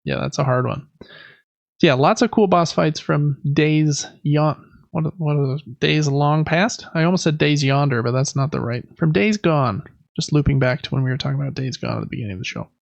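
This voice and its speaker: Gravelly-edged male podcast host, casual. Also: a little high, a little fast, dry recording, lo-fi sound. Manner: rambling, self-correcting. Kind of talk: casual chat about video and board games.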